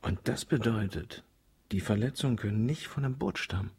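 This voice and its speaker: An actor playing a melancholy widower and loyal friend, hesitant and inquisitive, in a German radio drama. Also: male voice, lowish voice, expressive, fairly quick, a little mellow, breathy edge, exaggerated voice. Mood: curious, puzzled.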